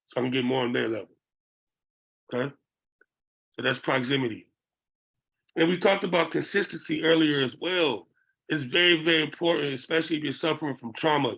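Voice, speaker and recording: A middle-aged man with a raspy voice, a behavioral interventionist lecturing educators and often checking in with "okay?". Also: medium pitch, a bit bright, low-quality recording. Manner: checks in with listeners by asking "okay?".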